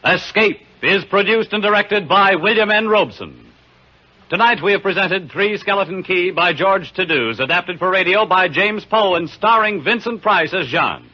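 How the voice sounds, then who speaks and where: A male radio announcer reading the closing credits of a vintage radio drama in a crisp, formal style. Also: high voice, expressive, moderate pace, bright, forceful tone. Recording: lo-fi old radio broadcast with music.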